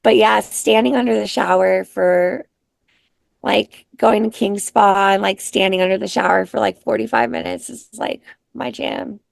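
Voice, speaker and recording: A raspy-voiced woman, a singer-songwriter guest, talking in an unhurried, soft-spoken way with many pauses. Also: medium pitch, no echo. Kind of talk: casual interview chat.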